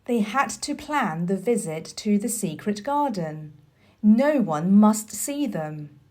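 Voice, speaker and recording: A woman storyteller reading a children's book aloud in a deliberate, expressive teacher's manner. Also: medium pitch. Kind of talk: scripted storybook narration.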